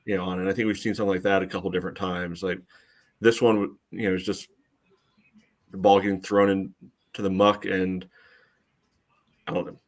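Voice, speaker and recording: A man with an American accent and a deep voice breaks down soccer in casual podcast talk. His delivery stays level with little rise or fall. He thinks aloud, padding his points with "you know" as he works through them. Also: level tone, normal speed, pauses often. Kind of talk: casual sports analysis.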